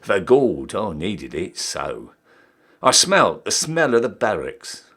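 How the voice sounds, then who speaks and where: Man acting a cheeky old-style British soldier, wildly animated. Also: sits low, slow, voice pushed down, full character voice. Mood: stirred, proud. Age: young adult. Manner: theatrical, emphatic, swooping delivery. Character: cheeky down-at-heel ex-soldier re-enlisting, Victorian British army ranker. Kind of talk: dramatic poetry recital.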